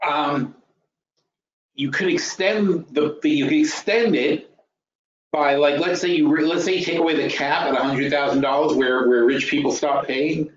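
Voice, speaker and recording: A man's voice: a little high, normal speed. Guy mid 50s explaining stuff on a webinar, blunt, repeats himself, kinda dull lo-fi audio w lots of room echo. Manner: emphatic, blunt, repeats phrases for emphasis; thinks aloud, asks rhetorical questions. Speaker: webinar host and trading educator. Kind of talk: informal explanatory financial lecture.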